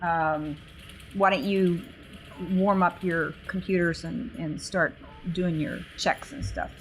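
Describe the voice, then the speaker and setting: Slow-talking woman playing a tabletop game. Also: lowish voice, fairly even intonation, a little hiss or hum, low-quality recording. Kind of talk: casual in-character role-playing chat.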